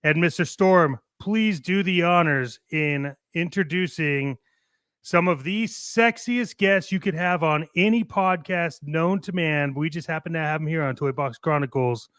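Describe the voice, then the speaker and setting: A man's voice: on the high side, measured pace, a bit bright, clear, resonant tone, no echo, studio quality. A man hosting a casual hype show in a showy, cheeky way, swearing casually. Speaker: podcast host.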